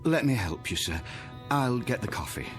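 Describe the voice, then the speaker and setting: A man's voice: medium pitch, lively intonation, normal speed, music in the background, close to an everyday voice. An actor playing a deferential male ship's steward with a polite, formal manner. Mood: courteous, attentive.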